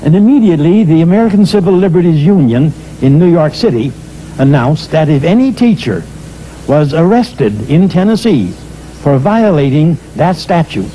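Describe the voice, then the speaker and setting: An older man recounting past events in a measured, hesitant way, with frequent pauses and uh's. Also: a little high, big pitch swings, warm tone, a little hiss or hum, low-quality recording. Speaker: storyteller recalling history. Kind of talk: spoken historical recollection.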